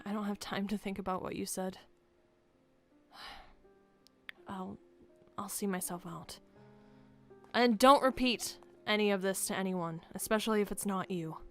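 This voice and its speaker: A woman's voice: medium pitch, a little slow, pauses often, natural voice. A woman playing a guarded, flustered young camp leader in an improvised game roleplay, stammering with nervous little laughs. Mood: guarded, dismissive. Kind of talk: improvised Minecraft roleplay acting. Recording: music behind the voice.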